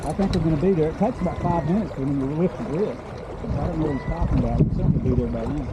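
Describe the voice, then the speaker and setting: Guy teaching sailing out on the water, kinda high voice, casual n encouraging, super noisy boat sounds. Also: racing. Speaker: sailing instructor. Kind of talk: casual hands-on instruction. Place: outdoors on a small sailboat. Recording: very loud water and boat noise.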